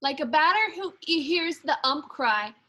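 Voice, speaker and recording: Female primary teacher for storybook read-aloud: high-pitched, bright, expressive, deliberate with dramatic emphasis. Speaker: second grade teacher. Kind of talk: expressive children's picture-book read-aloud.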